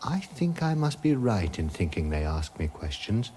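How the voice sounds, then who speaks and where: An actor playing a vague, gentle hermit who doubts all reality, in a radio comedy drama. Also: male voice, lowish voice, very animated, moderate pace, slight background noise. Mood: musing. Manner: musing, detached, hesitant, questioning everything.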